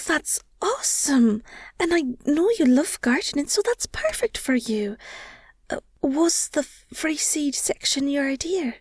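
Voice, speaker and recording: A woman playing an affectionate, nerdy werewolf girlfriend in an intimate audio roleplay, soft and breathy, often near a whisper, speaking tenderly. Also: high voice, no room sound, clean studio sound, exaggerated voice. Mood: excited, proud. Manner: gushing, tender, hesitant with pauses and sighs.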